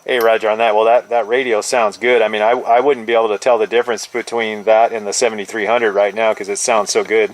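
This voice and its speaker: A man's voice: on the low side, fast, hardly any pauses. An American man in his fifties chatting casually as a radio hobbyist, using radio jargon and acknowledgements. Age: 50s. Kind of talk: casual two-way radio chat. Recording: noisy radio session with steady hiss.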